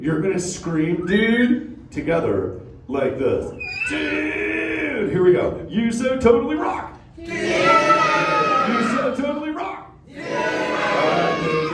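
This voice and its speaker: A man's voice: on the high side, fairly bright tone, exaggerated voice. An actor voicing a laid-back male sea turtle dad who talks in surfer slang, drawling "dude" and "bro" to a live crowd. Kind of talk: live interactive show with a crowd. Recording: roomy echo, distant mic, crowd noise.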